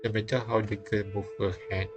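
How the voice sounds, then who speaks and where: Low-voiced man reading a game aloud. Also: level tone, a little fast. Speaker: streamer reading a visual novel aloud. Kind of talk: live read-aloud narration with casual comments. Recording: game music behind. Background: game music.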